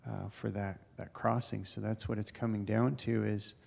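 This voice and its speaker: A male engineer calmly explaining technical options at a town board meeting. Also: lowish voice, moderate pace, creaky, breathy edge. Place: town council chamber.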